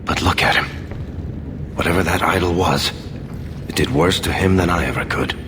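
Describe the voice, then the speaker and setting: An actor playing a weary, wry dwarf companion in a video game, speaking with understatement. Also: male voice, sits low, steady tone, moderate pace, lightly acted. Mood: grave, resigned. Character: weary, wry male dwarf companion, grieving his brother. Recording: loud background noise and game ambience.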